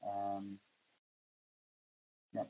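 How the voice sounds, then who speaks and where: Polish man, 40s, founder guest; monotone, hesitant, lo-fi audio. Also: on the low side, drawn-out words. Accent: Polish, with some Scottish influence. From Poland. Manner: hesitant, many fillers and restarts. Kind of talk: podcast interview.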